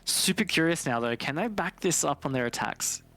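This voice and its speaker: A man's voice: on the high side, lively intonation, moderate pace, fairly bright tone. A man giving conversational analysis as co-commentator on a live esports match. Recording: faint noise and clicks behind.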